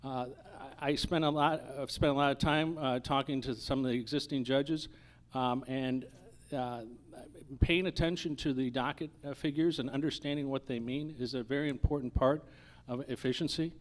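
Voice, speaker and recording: A man candidate answering at a political forum, halting and often correcting himself. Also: mid-range voice, fairly even intonation. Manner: halting, hesitant, frequent self-corrections.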